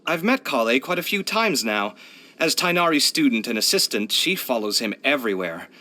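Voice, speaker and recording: Bright, expressive young architect. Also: male voice, mid-range voice, moderate pace. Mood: thoughtful, admiring. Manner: chatty, candid, expressive, sighing. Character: young male architect, proud, earnest, expressive, hard-luck. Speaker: video game voice actor. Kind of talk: video game character voice lines.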